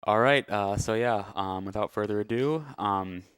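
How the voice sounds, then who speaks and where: A man's voice: fairly low pitch, moderate pace, clean tone, no room sound. A man giving casual, hesitant and understated live commentary as he plays through a video game. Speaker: speedrunner playing and commentating. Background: loud game music.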